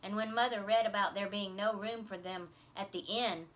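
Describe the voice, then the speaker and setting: A woman's voice: mid-range voice, fairly even intonation, moderate pace, a bit bright, slight background noise. A woman reading a children's novel aloud as scripted first-person narration. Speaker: audiobook reader.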